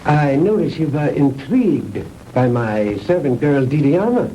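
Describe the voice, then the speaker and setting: Casting: a condescending German mad scientist for vintage sci-fi film, grandly polite, wide swoops, noisy soundtrack. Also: male voice, on the high side, lively intonation, unhurried, dark tone, dull audio. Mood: smug. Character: mad Nazi scientist, proud, theatrically courteous, fanatical. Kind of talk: 1960s B-movie acting.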